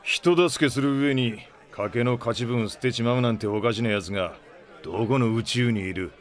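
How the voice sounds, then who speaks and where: A male actor playing a gruff, blustery middle-aged starship captain in a Japanese audio drama, with rough, brusque, slangy speech. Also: medium pitch, moderate pace, natural voice. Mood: irritated, suspicious. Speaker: ship captain.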